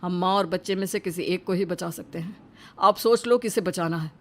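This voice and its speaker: A woman's voice: on the low side, normal speed, lightly acted. A woman voicing a stern male authority figure in a dramatic moral story, firm and admonishing. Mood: grave. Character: stern male authority: doctor and household patriarch.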